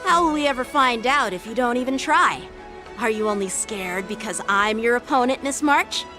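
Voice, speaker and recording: Woman as a youthful boy swordsman, highish voice, animated, confident, challenging, anime game. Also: moderate pace, over music, lightly acted. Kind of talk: anime-style video game voice acting.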